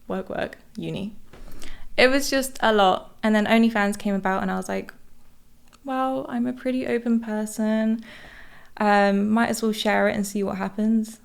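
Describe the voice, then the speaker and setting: Young woman chatting on a podcast, smooth but creaky voice, pretty flat delivery. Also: mid-range voice, normal speed. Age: 20s. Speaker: podcast guest, content creator. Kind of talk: casual chat.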